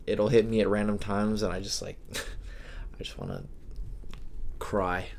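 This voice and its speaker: A young man, a podcast co-host, talking in a slow, halting and reflective way. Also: on the low side, a little hiss or hum. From Hawaii. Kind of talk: casual podcast chat.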